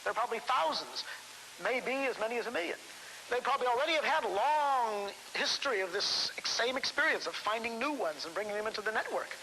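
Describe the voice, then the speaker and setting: A man argues his view on a panel in a high, bright voice. He talks quickly and with animation, over a faint hiss. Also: animated, low-quality recording. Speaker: symposium panelist.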